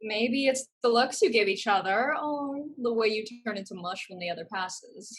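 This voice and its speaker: A woman's voice: medium pitch, moderate pace, a bit bright, lightly acted. A woman playing a fierce, protective older sister, direct and firm, in a staged play reading. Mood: pointed, probing.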